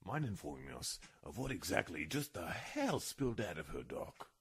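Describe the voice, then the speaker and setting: Skeptical board reviewer played by a man: deep, swooping delivery, raspy and blunt, horror audio drama. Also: big pitch swings, moderate pace, heavily acted. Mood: skeptical, irritated. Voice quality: gravelly, raspy. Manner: blunt, sharp.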